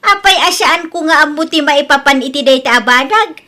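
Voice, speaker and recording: A woman acts out a nagging middle-aged mother in a comedy radio drama. Her voice is high and forceful, with an Ilocano Filipino accent. She exclaims and scolds stubbornly. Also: lively intonation, brisk. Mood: gossipy, disapproving.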